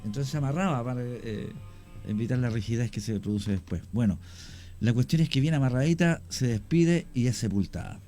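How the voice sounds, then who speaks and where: A man's voice: mid-range voice, lively intonation, brisk, warm tone, faint music behind. A man with a Chilean accent, a radio guest telling cemetery stories at length with frequent hesitations. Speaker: guest storyteller on cemetery history. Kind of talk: radio conversation, storytelling. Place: radio studio.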